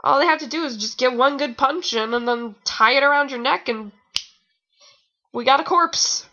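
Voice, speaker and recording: A woman's voice: high-pitched, moderate pace, bright, forceful tone. A young woman reading fan fiction aloud in a casual, informal way, with rambling, sarcastic commentary. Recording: dull lo-fi home recording.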